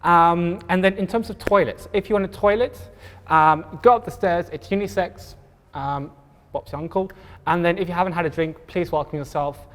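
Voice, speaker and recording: A man from Pretoria with an Afrikaans accent, moderating a public panel talk in a halting way, with many ums and restarts. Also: sits high, big-room sound. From Pretoria, South Africa.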